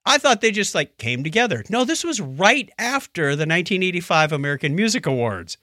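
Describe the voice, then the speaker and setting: Bright-voiced, animated man co-hosting a podcast. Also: high voice, a little fast, no echo, clean studio sound. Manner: animated storytelling with acted-out quotes. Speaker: podcast co-host. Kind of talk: casual chat about TV shows.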